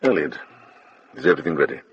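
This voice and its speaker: An actor playing a wise, practical middle-aged monk and healer in a radio drama, matter-of-fact and gently authoritative. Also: male voice, very deep voice, big pitch swings, fast talker, full character voice. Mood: kindly. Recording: muffled, clearly noisy background.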